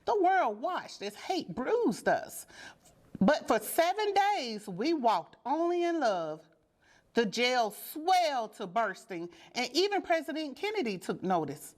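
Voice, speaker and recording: A woman reading a children's storybook aloud with deliberate, dramatic and expressive phrasing. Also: high-pitched. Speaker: storybook reader.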